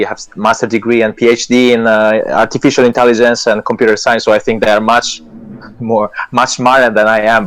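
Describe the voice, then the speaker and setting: A man's voice: fairly low pitch, fairly bright tone, poor audio. A man whose first language is Italian, a project lead speaking as a guest in a live audio chat, hesitant with many fillers and restarts. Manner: earnest, self-deprecating with light laughs.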